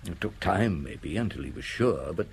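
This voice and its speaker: A shrewd middle-aged detective in an audio drama, with a low voice that moves expressively. He muses step by step with dry humour, over faint background noise. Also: animated, normal speed, a little mellow. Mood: thoughtful. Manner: musing, methodical, dryly humorous.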